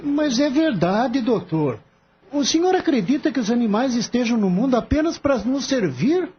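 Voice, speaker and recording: A man playing a gentle, earnest young veterinary assistant in a radio drama, polite and heartfelt. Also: very high-pitched, sing-song, moderate pace, breathy edge, exaggerated voice. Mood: earnest, pleading. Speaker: voice actor. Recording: dull lo-fi audio, faint background noise.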